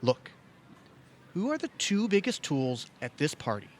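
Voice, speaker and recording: An actor playing an obsessive, aggrieved younger brother in a comedic audio play, exasperated and insistent. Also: male voice, fairly high pitch, sing-song, a little slow. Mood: insistent, agitated. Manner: exasperated, insistent, leading questions like a cross-examination. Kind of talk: comedic audio theater dialogue.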